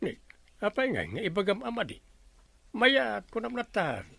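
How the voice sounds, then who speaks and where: A man's voice: high-pitched, huge pitch swings, moderate pace, vocal fry, fairly bright tone, slightly rough, no echo, exaggerated voice. A man with an Ilocano accent playing a chatty, scheming village captain in a radio comedy drama. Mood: pleased, scheming.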